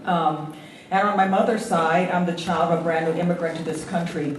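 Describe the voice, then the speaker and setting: A woman with a slight Hawaiian accent speaking on a panel as a federal agency official, earnest and halting, with many ums and restarts. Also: lowish voice, steady tone, roomy sound, recorded from a distance, poor audio. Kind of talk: panel remarks. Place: event hall at a symposium.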